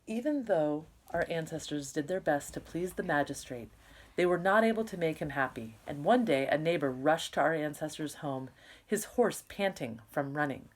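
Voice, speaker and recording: A woman's voice: sits low, lively intonation, moderate pace. A woman teacher reading a story aloud expressively, with casual, instructional asides to her students.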